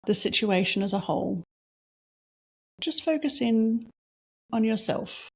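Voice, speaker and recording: A woman leading a guided reflection exercise, asking slow, soft questions with long pauses between them. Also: medium pitch. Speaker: meditation guide.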